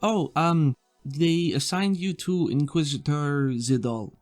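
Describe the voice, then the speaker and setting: A man voicing a friendly, helpful female receptionist in a tabletop game, polite and quietly concerned. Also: on the high side, slow, soft background music, voice pushed up.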